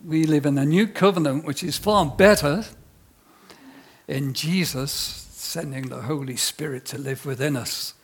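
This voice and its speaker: A man's voice: on the high side, unhurried, breathy edge. A man preaching in short phrases for an interpreter, conversational and asking the listeners questions. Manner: conversational, asks the audience questions. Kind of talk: sermon with live interpreter.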